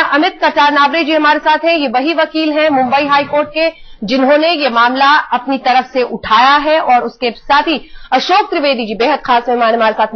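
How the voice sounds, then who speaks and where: A woman's voice: sits high, slight background noise. A woman anchoring a TV news debate with emphatic delivery from a studio. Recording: dull band-limited broadcast audio.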